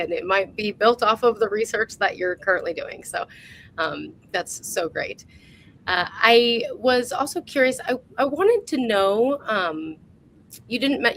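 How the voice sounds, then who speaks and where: A woman's voice: medium pitch, fairly bright tone, a little hiss or hum, rough recording. A woman hosting a casual interview, chatting in an informal, appreciative way. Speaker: host.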